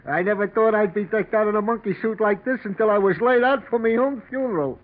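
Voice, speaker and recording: A man playing an easygoing, gullible hobo in a 1940s radio mystery, with rough, slangy, ungrammatical talk. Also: sits high, brisk, close to an everyday voice. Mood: delighted. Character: easygoing, gullible male hobo hired for a job. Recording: scratchy, narrow-band 1940s radio recording.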